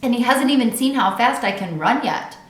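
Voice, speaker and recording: Woman playing excitable, high-pitched little schoolgirl. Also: fairly quick, close to an everyday voice. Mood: eager. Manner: squealing, hollering, eager. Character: excitable, defiant, boy-crazy young schoolgirl Grace. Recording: echoey untreated room.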